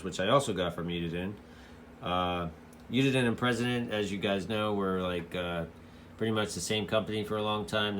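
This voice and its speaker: A man's voice: low-pitched, a bit bright, slight background noise. A man giving a casual, loosely explained product walkthrough as a radio hobbyist reviewer, in an informal, rambling way.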